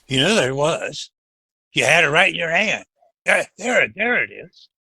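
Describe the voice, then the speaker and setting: A man's voice: on the high side, very animated, unhurried, sharp tone, breathy edge, no room sound, heavily acted. A man playing a meek, folksy assistant to an Old West marshal in a radio western, with a hesitant, stammering, deferential delivery. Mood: anxious, pleading. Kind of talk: old-time western radio drama acting.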